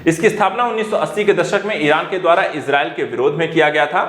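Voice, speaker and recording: A man teaches. He explains current affairs emphatically, circling back over his points like a coaching instructor. The room around him rings with a clear echo. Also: fairly high pitch, quick pace, fairly bright tone. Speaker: teacher at an exam coaching academy. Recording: echoey room.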